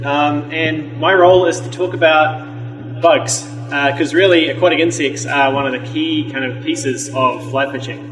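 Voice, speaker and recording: A man's voice: fairly high pitch, fairly even intonation, normal speed. A man with a New Zealand accent, a university professor giving an enthusiastic, casual workshop talk to a live audience, with frequent ums and restarts. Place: meeting room with a live audience. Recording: echoey room with faint music.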